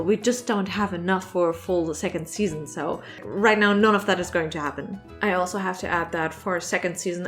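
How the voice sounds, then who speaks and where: A woman with a mid-pitched voice talks casually to camera as the host of a vlog. She is chatty and animated, leaning on words for emphasis. Faint music plays behind her. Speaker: online animator and comic creator hosting a Q&A.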